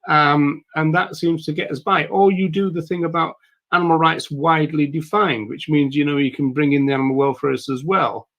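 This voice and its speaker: Livestream host: man, croaky and gravelly, somewhat high, rambling with lots of fillers. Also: steady tone, brisk, a bit bright. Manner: rambling, with many fillers and restarts. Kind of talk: casual livestream talk.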